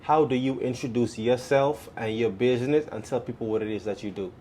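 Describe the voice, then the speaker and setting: A man with a Bahamian accent hosting a podcast interview in a relaxed way, often repeating the guest's words back. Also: medium pitch, fairly quick, a little hiss or hum. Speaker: podcast host.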